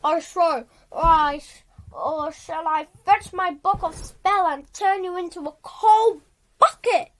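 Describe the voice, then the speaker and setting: A woman voicing a hungry, bad-tempered male warlock in a children's storybook, giving threatening, drawn-out commands. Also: very high-pitched, slight background noise. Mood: angry, commanding. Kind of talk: storybook character voice.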